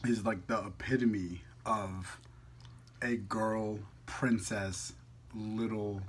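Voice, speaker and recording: A man's voice: lowish voice, fairly even intonation, slow, slight background noise. A man chatting casually as a vlog host, playful and slangy, rambling as he goes. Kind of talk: casual chat to camera.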